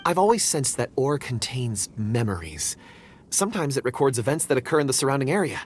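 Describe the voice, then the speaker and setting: A courteous, modest young man from a video game, played by a man, speaks earnestly in a medium-pitched voice. His intonation rises and falls freely, with faint ambience behind it. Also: very animated, fairly quick, slight background noise, close to an everyday voice. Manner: hesitant, polite, earnest. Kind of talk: video game character acting.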